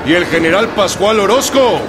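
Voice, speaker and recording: Radio play: firm rebel commander with a high voice, loud crowd din all around. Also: male voice, lively intonation, normal speed. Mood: commanding. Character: blunt rebel commander laying out battle plans. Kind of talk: radio drama acting. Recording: loud crowd and battle noise.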